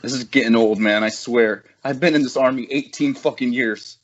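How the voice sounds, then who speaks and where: A man's voice: medium pitch, normal speed, dull audio, natural voice. A man playing a weary, griping veteran soldier in an amateur table read of a horror film script.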